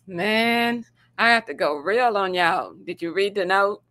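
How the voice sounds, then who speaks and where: A woman's voice: medium pitch, measured pace, bright, forceful tone. A woman from the United States co-hosting a casual morning show in an informal, storytelling way. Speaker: talk show co-host.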